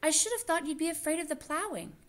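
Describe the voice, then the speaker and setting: A woman's voice: high voice, animated, fast talker, a bit bright, voice pushed up. A woman voicing a chatty, nosy female mouse in a storybook, insistent and exclaiming.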